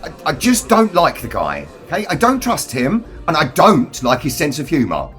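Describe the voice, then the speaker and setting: An actor playing a jealous, sulky husband in a radio play, grudging and defensive. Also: male voice, fairly high pitch, huge pitch swings, normal speed, a bit bright, faint music behind.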